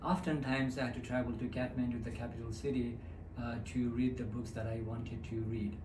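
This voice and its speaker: A man from Nepal, a parent, speaking earnestly with halting pauses about growing up without libraries. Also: mid-range voice, little pitch movement. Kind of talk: community testimonial. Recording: echoey, distant mic with noise.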